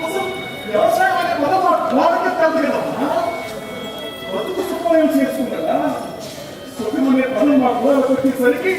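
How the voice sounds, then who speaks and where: A man's voice: extremely high pitch, moderate pace. A man acting a poor, downtrodden, aggrieved village man in a stage play, declaiming in rhetorical questions. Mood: bitter, aggrieved. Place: stage in a large hall. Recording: echoey hall from far off, music behind.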